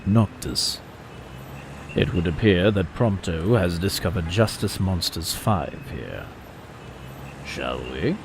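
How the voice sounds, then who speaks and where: A man's voice: low-pitched, animated, takes it slowly, gravelly, pauses often, background noise, exaggerated voice. A man acting in an audio drama as a formal, long-suffering royal retainer with a dry manner.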